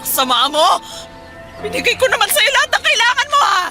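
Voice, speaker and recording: A bitter, jealous Filipino wife who will not let go. Her voice is very high and sharply bright, swinging around as she accuses, in a radio drama with music behind. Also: sing-song, brisk, full character voice. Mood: furious, defiant. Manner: shrill, accusing, argumentative. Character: abandoned wife, obsessive, jealous, confrontational and bitter.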